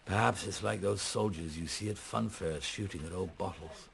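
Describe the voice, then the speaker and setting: Middle-aged male detective for radio drama: low, creaky voice, thoughtful, muttering, hesitant. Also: moderate pace, noisy background. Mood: musing. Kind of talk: naturalistic radio drama acting.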